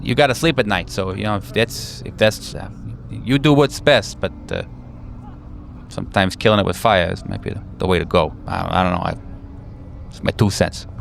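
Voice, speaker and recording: A man playing a weary, hardened veteran handler in a tabletop roleplay, talking in a halting, offhand way that trails off. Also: sits low, vocal fry, slight background noise. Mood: jaded, hedging. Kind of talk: improvised tabletop roleplay dialogue.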